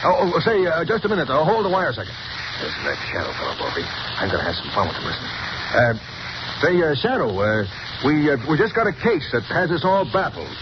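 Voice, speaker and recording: A man's voice: a little high, wildly swooping pitch, fast talker. A man playing a brusque, harried police commissioner in a 1930s radio drama, hemming with "uh". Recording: dull old radio broadcast with hiss.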